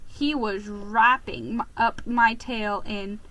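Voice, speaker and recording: A woman giving a theatrical, expressive reading of a storybook. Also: on the high side, slow pace, low-quality recording. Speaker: story reader.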